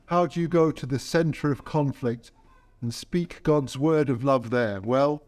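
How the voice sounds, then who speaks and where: A man speaking as a priest leading a service, preaching and praying in a measured, solemn way. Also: mid-range voice, a little mellow. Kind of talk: sermon and liturgy.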